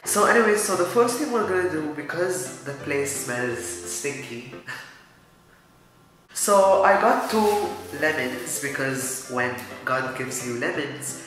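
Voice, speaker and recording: A man's voice: a little high, lively intonation, slow, fairly bright tone, heavy reverb, rough recording. Goofy teen boy, Arabic accent. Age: teens. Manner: goofy, rambling, self-mocking. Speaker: YouTuber. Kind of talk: casual DIY vlog. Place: small room, indoors. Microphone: camera mic at close range.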